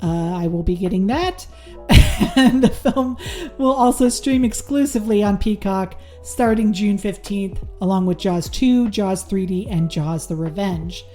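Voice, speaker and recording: A woman's voice: mid-range voice, measured pace, mellow, faint music behind. A woman hosting a horror movie podcast, chatting casually with many ums, her voice a little worn. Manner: chatty, lots of ums and restarts. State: losing her voice. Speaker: podcast host. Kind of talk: casual solo review and news.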